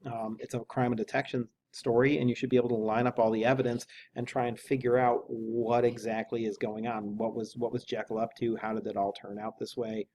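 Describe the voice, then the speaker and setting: A man's voice: medium pitch, little pitch movement, a little fast, hardly any pauses, a little mellow, a little hiss or hum. A man speaking as an English teacher, reading a novel aloud and explaining it informally.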